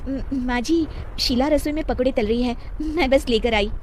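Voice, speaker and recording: Woman doing a meek, high-voiced young daughter-in-law. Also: normal speed. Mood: polite. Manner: soft-spoken, deferential, pleading.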